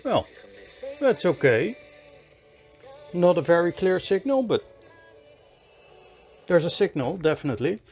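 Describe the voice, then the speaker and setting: A man giving a hands-on electronics repair tutorial in a measured, step-by-step way, often repeating short phrases. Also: high voice, sing-song, darkish tone, soft background music.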